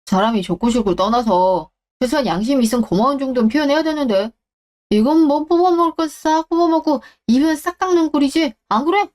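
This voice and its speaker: A woman's voice: high voice, a little fast, a little mellow, lightly acted. A woman voicing a divorced company boss in a radio drama, scornful and scolding.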